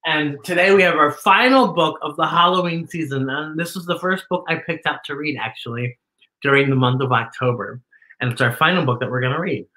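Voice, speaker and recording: A man's voice: on the high side, fairly quick, sharp tone, poor audio. A middle-aged man, a drag performer hosting a children's show, talking in a playful, theatrical, exclamatory way through costume fangs that slightly impede the words. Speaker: children's story-time host, drag performer.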